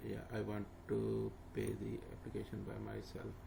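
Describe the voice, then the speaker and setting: Low gravelly man explaining steps. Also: monotone, moderate pace. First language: not English. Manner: plain, instructive, repeats steps with fillers. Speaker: tutor. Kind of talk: screen-recorded walkthrough. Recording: noisy home recording.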